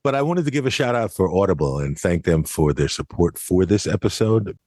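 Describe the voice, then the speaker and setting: Deep, husky American male podcast co-host. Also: lively intonation, a little fast, darkish tone, no echo, studio quality. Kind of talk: conversational podcast commentary.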